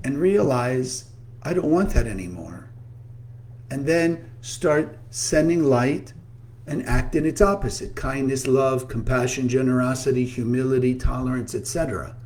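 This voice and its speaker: A man giving a spiritual talk as a teacher, earnest and measured, slowly listing virtues. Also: mid-range voice, a little mellow.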